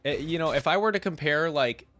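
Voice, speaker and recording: A man's voice: on the high side, animated, fairly quick, bright, forceful tone. A man with a head cold giving casual running commentary as the host of a reaction video. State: congested with a cold.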